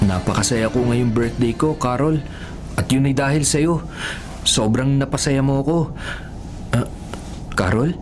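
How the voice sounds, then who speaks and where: A man's voice: mid-range voice, unhurried, pauses often, music in the background, close to an everyday voice. An actor playing a smitten, easily frightened young man in a Filipino radio horror drama. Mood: tender. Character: smitten young man, birthday celebrant, terrified by a monster.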